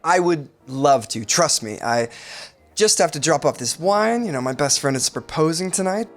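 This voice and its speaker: A man's voice: fairly high pitch, animated, moderate pace, soft background music, natural voice. Man playing an earnest, flustered young guy. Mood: apologetic, flustered. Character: lovelorn young man, an earnest, loyal best friend. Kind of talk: podcast sitcom acting.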